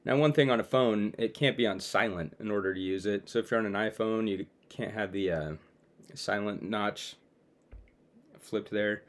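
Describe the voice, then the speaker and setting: Casual young man with a lowish voice. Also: moderate pace. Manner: informal, conversational. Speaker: video creator presenting his own web app. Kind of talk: casual screen-share demo, talking to viewers. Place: small room at home, indoors.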